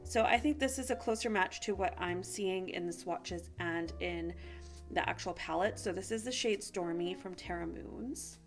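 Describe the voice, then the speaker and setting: A woman's voice: on the low side, normal speed, soft background music. A woman chatting casually and informally to viewers as a beauty vlogger reviewing products, sounding a little sick and sniffly.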